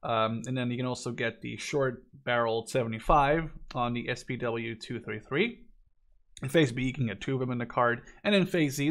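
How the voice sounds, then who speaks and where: A man in his twenties giving casual game commentary in a rambling, conversational, opinionated way. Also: medium pitch. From Netherlands. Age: 20s. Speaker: gaming video commentator.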